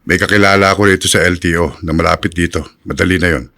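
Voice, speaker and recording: A low-voiced fatherly shop owner. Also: steady tone, fast talker, vocal fry, bright, forceful tone, no echo, clean studio sound, full character voice. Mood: friendly, easygoing. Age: middle-aged. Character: kindly fatherly male LPG dealer, welcoming and encouraging. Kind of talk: radio drama acting.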